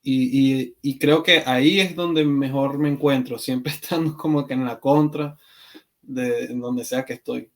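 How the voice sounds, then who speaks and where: A man's voice: mid-range voice, fairly even intonation, measured pace. A man in his thirties from Venezuela, a podcast guest who talks reflectively, with many fillers and restarts. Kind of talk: conversational interview.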